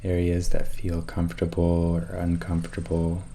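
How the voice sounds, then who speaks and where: A man leading a guided meditation, giving calm, measured instructions with long pauses between them. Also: low-pitched, slight background noise.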